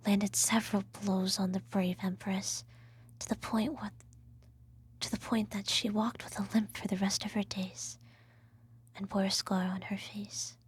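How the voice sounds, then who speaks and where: A woman playing an evil empress and doting adoptive mother, telling a bedtime story in a breathy ASMR whisper with formal, measured diction. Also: medium pitch, level tone, a little hiss or hum, close to an everyday voice. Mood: hushed, solemn storytelling.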